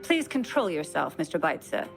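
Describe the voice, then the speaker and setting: An actress playing a composed, stern arbiter in a video game, speaking formally and with measured authority. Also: female voice, low-pitched, very animated, gravelly, over music, full character voice. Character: stern, composed female presiding arbiter at a hearing.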